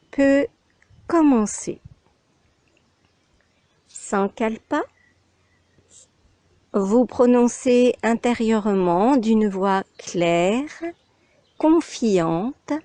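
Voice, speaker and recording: A woman leads a guided meditation as a yoga instructor, speaking extremely slowly in short instructions. Her voice is mellow and fairly high. The sound is very dry and close, with pauses between the phrases. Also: no room sound.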